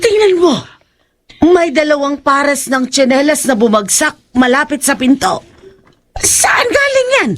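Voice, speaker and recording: An actress playing a spooked older neighbour woman in a horror audio drama, with drawn-out exclamations. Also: high voice, very animated, a bit bright, heavily acted.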